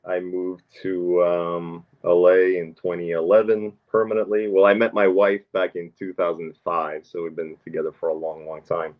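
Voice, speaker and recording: A man's voice: low-pitched, level tone, moderate pace, hardly any pauses. A man from Norway chatting casually as a podcast guest, rambling with lots of fillers and restarts, short on sleep. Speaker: NFT artist guest. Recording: dull lo-fi sound.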